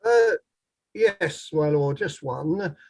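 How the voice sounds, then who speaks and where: A man's voice: fairly high pitch, lively intonation, drawn-out words, darkish tone, exaggerated voice. A man reading a courteous, precise barrister in a courtroom play, formal with slightly hesitant openings. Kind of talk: table read of a courtroom play.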